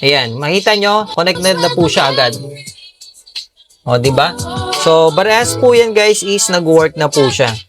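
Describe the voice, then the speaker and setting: A man's voice: a little high, lively intonation, a little slow, pauses often, music in the background. A Filipino man giving a casual, upbeat product tutorial in a conversational way, often addressing his viewers directly. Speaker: product reviewer and tutorial presenter.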